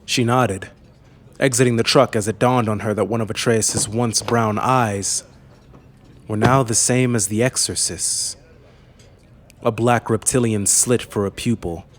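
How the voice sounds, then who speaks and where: A man narrating a scripted fantasy audiobook in a measured, serious way. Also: lowish voice.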